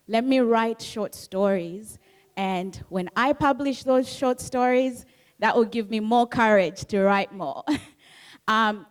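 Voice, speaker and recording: A woman from Zambia, Bemba her first language, talking earnestly and reflectively about her book at a book club. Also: medium pitch. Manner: earnest, reflective, repeats key phrases. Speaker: author.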